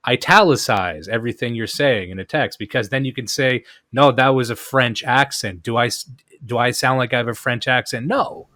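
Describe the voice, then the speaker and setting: A man hosts a podcast with a voice of ordinary pitch. The sound is dry and close, and he keeps up a steady run of dry jokes. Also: normal speed, dry recording. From Canada. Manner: joking, dry humor. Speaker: podcast host. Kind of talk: casual podcast conversation.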